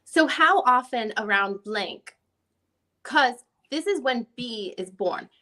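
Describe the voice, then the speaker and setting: A woman playing a methodical, probing lawyer who puts formal, deliberate questions in a dramatic deposition reading. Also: on the high side, lively intonation, bright, forceful tone, heavily acted.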